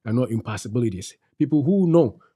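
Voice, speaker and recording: A man's voice: medium pitch, expressive, fast talker, warm tone, slightly rough. A middle-aged man gives faith-based advice on a talk show. He exhorts his listeners and repeats his key phrases like a preacher. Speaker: co-host.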